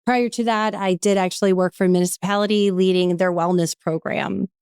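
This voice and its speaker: Smooth-voiced woman guest. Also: medium pitch, fairly even intonation, a little fast, darkish tone, no echo, studio quality. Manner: composed, explanatory. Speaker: podcast guest. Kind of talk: casual podcast interview.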